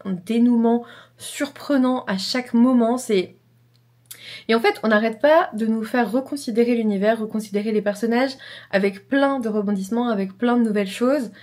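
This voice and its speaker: A young woman with a fairly high voice talks in a loose, rambling vlog chat. A slight cold leaves her a little congested. Her enthusiasm shows as she repeats her favourite phrases. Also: moderate pace, darkish tone. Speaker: book vlogger.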